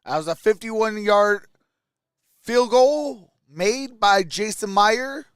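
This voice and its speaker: A man with a high, clean voice calls a game live and chats casually between plays. He is recorded dry and close, as a streamer. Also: animated, slow pace, a bit bright, clean tone, no room sound. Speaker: livestream host and play-by-play commentator. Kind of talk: live game commentary and casual chat with viewers.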